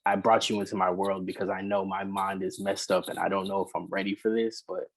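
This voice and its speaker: A man, a rapper and singer, talking casually in an interview, relaxed and rambling with many fillers. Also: sits low, brisk, creaky.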